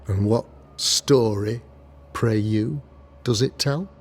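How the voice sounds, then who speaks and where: An actor playing a pompous, self-righteous colonial governor and preacher, speaking in formal, oratorical period language in a video game. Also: male voice, lowish voice, takes it slowly. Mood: haughty. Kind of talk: theatrical period drama sermonizing.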